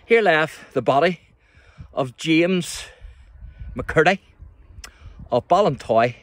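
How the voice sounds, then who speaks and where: A man's voice: a little high, lively intonation, slow pace. A man with a Northern Irish accent giving a chatty, informal walking tour as a local history guide, speaking outdoors into a handheld phone. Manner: chatty, addresses the viewer with tag questions. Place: outdoors in a churchyard by the sea. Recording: outdoor phone recording with background noise.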